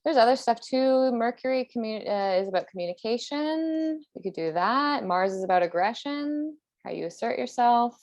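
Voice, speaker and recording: A woman's voice: on the high side, animated, moderate pace, darkish tone, dry recording. A woman hosting a podcast in casual conversation, sometimes reading aloud. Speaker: podcast host.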